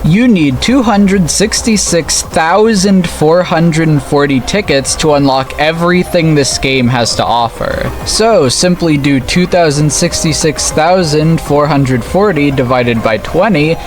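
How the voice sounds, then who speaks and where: Higher-voiced man narrating over music. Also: unhurried, hardly any pauses. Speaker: host of a gaming video channel. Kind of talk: scripted video essay narration. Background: background music.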